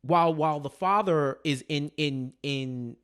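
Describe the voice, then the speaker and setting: Male podcast co-host, fairly high voice, slangy and conversational, circles back over phrases and tags points with "right?". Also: slow pace, fairly bright tone, clean tone. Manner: slangy, repeats phrases as thoughts form, checks in with "right?". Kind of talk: casual TV recap chat.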